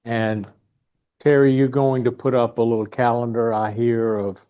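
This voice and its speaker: A man hosting a meeting, giving informal announcements and introducing a guest in a halting, easygoing way. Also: medium pitch, flat delivery, mellow, clean tone, muffled recording. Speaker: club meeting host. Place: indoor meeting room.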